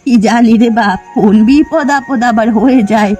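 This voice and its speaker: A woman's voice: a little high, normal speed, dark tone, close to an everyday voice. A woman voicing a homely old village mother in a fairy-tale cartoon, stubborn and doting, exclaiming and fretting as she talks. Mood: worried. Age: older. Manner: homely, exclaiming, fretful rustic talk. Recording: music behind the voice.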